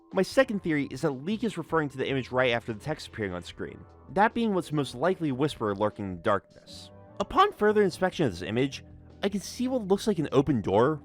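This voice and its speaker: A man narrating a scripted video analysis with casual asides, in an emphatic, conversational and informal way. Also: on the high side. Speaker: video essay host and narrator.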